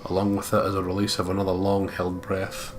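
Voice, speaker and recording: A man's voice: deep voice, monotone, fairly quick, noisy background. A man reading a horror visual novel aloud as a dramatic, brooding first-person narrator. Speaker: let's player reading the game aloud.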